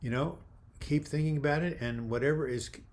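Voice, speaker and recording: An older man hosting a live video chat, reading viewer comments aloud and replying in a friendly, halting way with frequent restarts. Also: a little high, darkish tone. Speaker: livestream host answering viewer comments.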